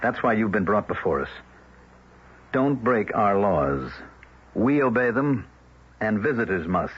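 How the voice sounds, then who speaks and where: An actor playing a stern male village elder in an old-time radio drama, formal, measured and quietly menacing. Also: on the low side, very animated, slightly rough, exaggerated voice. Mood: cold, authoritative. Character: stern, controlled village elder laying down law. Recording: dull vintage radio recording.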